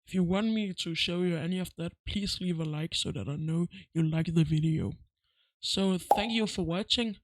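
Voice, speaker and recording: A man with a noticeably high voice talks through a casual software how-to. He gives plain, simple instructions one step at a time. The sound is dry, with very little room on it. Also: moderate pace, no echo. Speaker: tutorial host.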